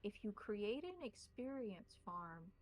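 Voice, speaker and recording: A woman's voice: medium pitch, sing-song, slow, a little hiss or hum. A woman game master narrating a tabletop roleplaying game and talking with the players.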